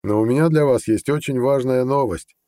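A man's voice: medium pitch, brisk, dark tone, no room sound, studio quality, voice pushed up, close to an everyday voice. A man voicing a blunt, ambitious army colonel, plain-spoken and earnest, in an audiobook. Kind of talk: audiobook character dialogue.

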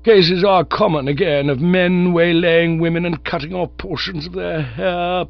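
A man doing a pompous old gentleman, high and stiff. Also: unhurried, vocal fry, no echo, muffled recording. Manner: stiff, formal, indignant. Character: pompous elderly male nerve specialist.

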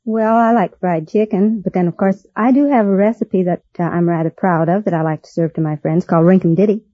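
A woman's voice: lowish voice, steady tone, brisk. A woman giving chatty, polite answers as a quiz show contestant. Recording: dull old radio recording.

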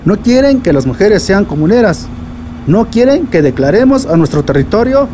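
Man acting a high-voiced, respectful Mexican villager. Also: big pitch swings, normal speed, background noise. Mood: indignant. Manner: deferential, earnest. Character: respectful, earnest village man bringing grave news. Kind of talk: radio drama acting.

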